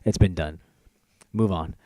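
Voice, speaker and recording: A man with a fairly low voice hosts a casual podcast. He chats informally and slips into joking, announcer-like asides. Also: measured pace, mellow, no echo. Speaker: podcast host.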